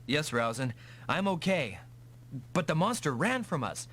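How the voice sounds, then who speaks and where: An actor playing a confident, gung-ho young mech pilot in a dubbed anime-style video game, brash and commanding. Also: male voice, a little high, big pitch swings, measured pace, fairly bright tone. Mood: focused. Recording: noisy vintage console game audio.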